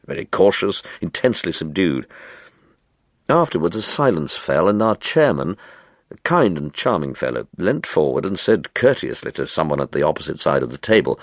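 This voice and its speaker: Deep, expressive male storyteller. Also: no echo, clean studio sound. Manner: wry, measured, expressive storytelling. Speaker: reader of a short story. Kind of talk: literary audiobook narration with character voices.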